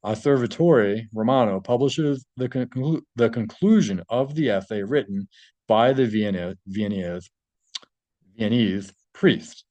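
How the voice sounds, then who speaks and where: A man's voice: fairly low pitch, unhurried, dark tone, dry recording. A man reading a translated article aloud and adding his own informal commentary.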